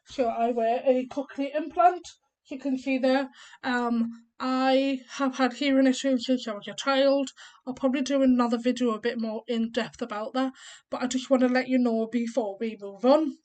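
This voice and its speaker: A woman in her thirties talks casually to camera in a slightly high voice. She is deaf, and her speech sounds a bit different because of it. She rambles through her explanations step by step, with plenty of ums along the way. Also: fairly even intonation, normal speed, darkish tone. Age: 30s. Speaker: vlogger.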